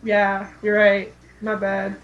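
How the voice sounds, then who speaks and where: A woman's voice: mid-range voice, monotone, takes it slowly, highs cut off. A woman co-hosting a casual fan podcast, speaking in an informal, giggly way. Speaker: podcast co-host.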